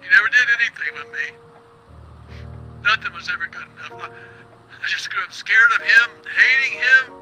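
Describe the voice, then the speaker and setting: Troubled male radio host, game drama, high-pitched and piercingly bright, high-strung and easily flustered. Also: lively intonation, faint music behind, heavily acted, low-quality recording. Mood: pained confession. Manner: halting confessions, exasperated outbursts.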